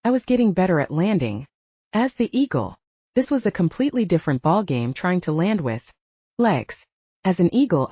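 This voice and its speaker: Animated woman narrating, dull dry recording. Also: lowish voice, dry recording. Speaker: audiobook narrator. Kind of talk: scripted audiobook narration.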